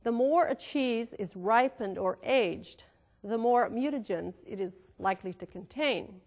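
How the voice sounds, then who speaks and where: A middle-aged woman hosting a cooking show, explaining health facts about food to the camera in a measured, informative way, with now and then a filler, on a studio kitchen set with a lapel mic. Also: a little high, animated, a little mellow. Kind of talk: scripted health lesson to camera.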